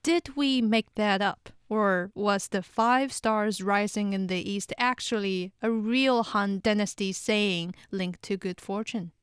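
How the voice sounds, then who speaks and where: Woman, clear smooth voice, casual explanatory quiz host, close dry studio sound. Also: medium pitch, slow pace, few pauses, no echo. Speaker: quiz host on a talk show, drama fact checker.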